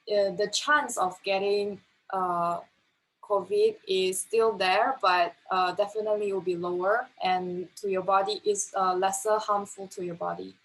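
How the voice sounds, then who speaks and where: A woman's voice: medium pitch, rough recording. A woman of about twenty answering interview questions, hesitant with fillers.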